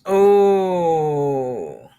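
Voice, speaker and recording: A woman's voice: low-pitched, big pitch swings, very slow, mellow, clear, resonant tone, lo-fi sound. A middle-aged woman hosting a casual crochet livestream, reading viewer comments aloud and greeting people by name.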